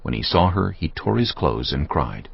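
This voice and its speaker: Very deep, gravelly male scripture narrator. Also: big pitch swings, moderate pace, dull audio.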